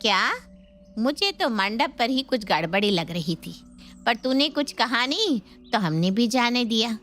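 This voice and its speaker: A woman's voice: on the high side, expressive, measured pace, a bit bright, faint music behind. A woman playing a protective, indignant middle-aged mother in an Indian family story, emphatic and fussing. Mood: suspicious, protective. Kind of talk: scripted Hindi family story dialogue.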